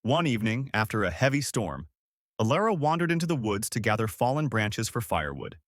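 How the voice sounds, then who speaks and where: A man's voice: mid-range voice, sing-song, a little fast, dry recording, studio quality. A man narrating a scripted fairy tale for children as a storyteller.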